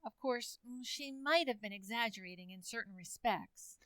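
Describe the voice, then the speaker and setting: A woman playing a dreamy, dramatic high-school girl, plaintive and gushing, in old-time radio comedy style. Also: normal speed, a bit bright, dry recording, close to an everyday voice.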